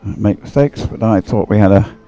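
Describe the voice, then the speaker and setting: A man giving live race commentary in a low, very mellow voice. He thinks aloud in a hesitant, slightly puzzled way as he follows the boats. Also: moderate pace, dry recording. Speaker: sports commentator.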